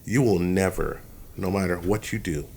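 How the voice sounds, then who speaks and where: A man's voice: sits low, moderate pace, dark tone, a little hiss or hum. A man co-hosting a casual podcast reaction chat, talking emphatically and repeating phrases as he builds a point. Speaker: podcast co-host.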